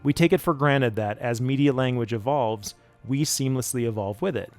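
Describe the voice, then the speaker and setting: A man's voice: medium pitch, moderate pace, darkish tone. A man reading a scripted explainer narration about his production work. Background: background music.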